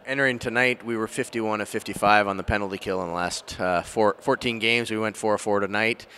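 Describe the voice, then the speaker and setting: A middle-aged man works as a sports interviewer. His voice is smooth and fairly low, and he rattles through questions quickly into a handheld mic. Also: fairly even intonation, sharp tone. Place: indoors before a sponsor backdrop at an arena. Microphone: handheld microphone.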